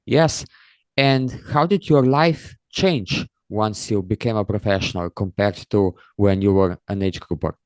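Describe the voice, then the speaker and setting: Low-voiced male podcast host, dry. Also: measured pace, darkish tone, clear, resonant tone, no room sound. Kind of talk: scripted intro then interview questions.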